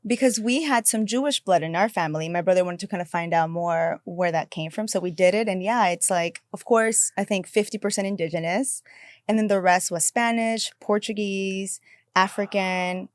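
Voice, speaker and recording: A woman's voice: medium pitch, normal speed, no echo. Young woman guest, from El Salvador, laughs a lot, affectionate, dry close sound. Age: young adult. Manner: warm and laughing, calls others girl. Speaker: podcast guest. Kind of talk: casual chat.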